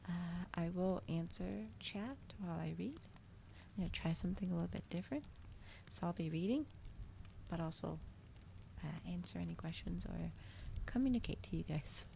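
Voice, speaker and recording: A woman with a soft, gentle voice that often slips toward a whisper, chatting casually and a little hesitantly to viewers as an ASMR livestream host. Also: on the low side, normal speed, vocal fry. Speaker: streamer hosting a live reading. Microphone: regular microphone, not ASMR-specific. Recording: audible background noise, fireplace ambience. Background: crackling fireplace ambience.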